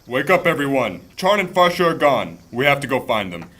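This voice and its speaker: An actor playing a stubborn, impatient and suspicious warrior in a fantasy audio drama, blunt and brusque in delivery. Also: male voice, mid-range voice, very animated, fairly quick, fairly bright tone. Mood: urgent, alarmed.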